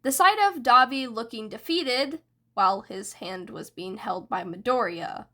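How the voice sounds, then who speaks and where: A woman reading fanfiction aloud in soft, unhurried audiobook narration. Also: fairly high pitch, expressive. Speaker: fanfiction reader.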